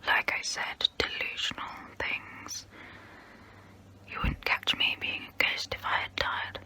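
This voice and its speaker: A woman as a haughty whispering vampire. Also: sharp tone. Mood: disdainful, musing. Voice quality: breathy, close-up whisper. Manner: slow, deliberate, condescending. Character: haughty, immortal female vampire, vain, disdainful of humans. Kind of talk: whispered ASMR role play.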